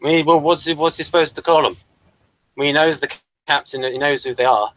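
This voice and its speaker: A man's voice: fairly high pitch, fairly even intonation, brisk, fairly bright tone, poor audio. A man hosting a casual review discussion, speaking hesitantly and probing with a contrarian bent. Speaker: podcast host reviewing TV episodes.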